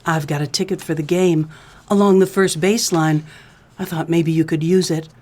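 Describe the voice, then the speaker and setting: A woman's voice: deep voice, fairly even intonation, moderate pace, darkish tone. A woman playing a coy, scheming femme fatale widow in a comedic noir radio play, sweet-talking with pet names. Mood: coy, flirtatious.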